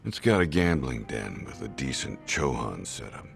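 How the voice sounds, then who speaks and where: Very deep, gravelly underworld informant. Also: male voice, very animated, moderate pace, soft background music, heavily acted. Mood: conspiratorial. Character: sly, gravelly male underworld informant, smug and knowing. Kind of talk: video game cutscene acting.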